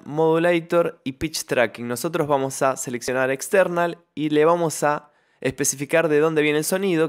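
A man's voice: mid-range voice, clear, resonant tone. A man presenting an instructional tutorial in voice-over, explaining step by step into a close microphone. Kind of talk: instructional software tutorial narration.

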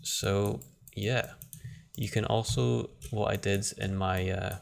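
A man giving a relaxed screen-recorded software tutorial, thinking aloud with frequent restarts. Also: fairly low pitch, takes it slowly, creaky.

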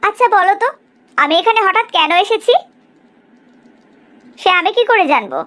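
A woman voicing a proud, sharp-tongued middle-aged village mother in a cartoon story, in an exaggerated, gossipy way. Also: very high-pitched, very animated, normal speed, full character voice. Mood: pleading, insistent. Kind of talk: Bengali cartoon story dialogue.

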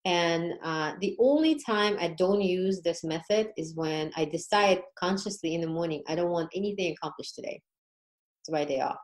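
A woman's voice: lowish voice, moderate pace. A woman guest on a podcast, telling her experience in a casual, informal way with frequent check-in questions. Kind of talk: casual conversational storytelling.

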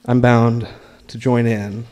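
An American man reading his own writing aloud at a live open mic, in a deliberate, measured way with pauses between short phrases. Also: medium pitch, level tone, darkish tone. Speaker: spoken word performer reading own writing. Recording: live recording in a reverberant room.

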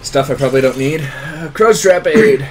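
A man's voice: a little high, normal speed, a crowd in the background. A man playing a video game and chatting with friends, joking casually in a crude, goofy way. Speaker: video game player in a group let's play.

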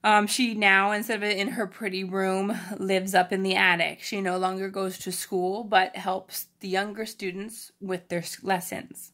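A woman reads aloud as a storyteller for children. Her delivery is informal and expressive, and she mixes the narration with simple, chatty explanations. Her voice sits at a medium pitch. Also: measured pace, hardly any pauses. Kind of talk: read-aloud storytelling with casual explanatory asides.

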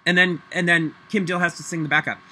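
A man's voice: fairly high pitch, flat delivery, fast talker, slight background noise. A middle-aged man ranting angrily and rambling into a webcam at home, swearing often and breaking into songs. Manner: angry, profane, rambling; breaks into loud off-key singing. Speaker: vlogger. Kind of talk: rambling webcam monologue with singing. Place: small room at home, indoors. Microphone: laptop webcam.

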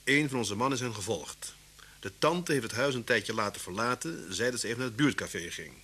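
Bright, animated wry detective. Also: male voice, mid-range voice, fairly quick, breathy edge, heavily acted. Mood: grave, explanatory. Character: lively male Scotland Yard detective, wry, brisk husband. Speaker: actor in a radio play. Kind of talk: vintage Dutch radio drama acting. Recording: noisy old radio recording with hum.

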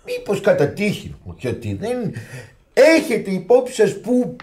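A man playing an exasperated, fussy husband and father in a Greek radio theatre farce, theatrical and easily flustered. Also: high voice. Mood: sly, showy. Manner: theatrical, excitable, exclaiming. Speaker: actor in a radio comedy.

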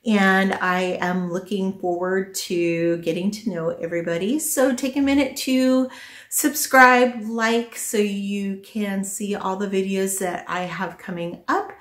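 A woman's voice: medium pitch, fairly even intonation, measured pace. A middle-aged woman chatting casually to the camera in her living room, thinking aloud as she reviews products. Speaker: vlogger presenting a product haul. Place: small living room at home, indoors. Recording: echoey home living room.

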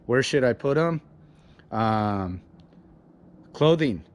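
A man's voice: medium pitch, lively intonation, takes it slowly. A man in his forties from Illinois, chatting upbeat and casual to a handheld camera outdoors, eagerly asking viewers for advice. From Illinois, USA. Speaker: fishing channel host. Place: outdoors in front of a house garage. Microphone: camera at arm's length.

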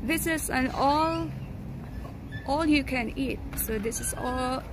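A woman's voice: high-pitched, takes it slowly, noisy background. A woman in her thirties with a Filipino accent, chatting to viewers on a phone camera from a car and then a restaurant, with many ums. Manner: chatty, addresses viewers as guys, frequent ums. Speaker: vlogger. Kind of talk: casual vlog narration. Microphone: phone at arm's length. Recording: loud background music. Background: loud music.

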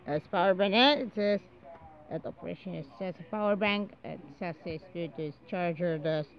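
A person presenting a casual home unboxing video in a halting, disjointed way, often repeating words. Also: medium pitch, dull audio. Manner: halting, disjointed, repetitive delivery. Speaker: amateur video maker showing gadgets.

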